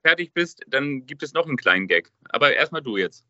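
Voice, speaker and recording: Bright-voiced man co-hosting, dry close sound. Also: medium pitch, sing-song, a little fast, no room sound, lo-fi sound. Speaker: podcast co-host and quiz contestant. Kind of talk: casual football podcast chat.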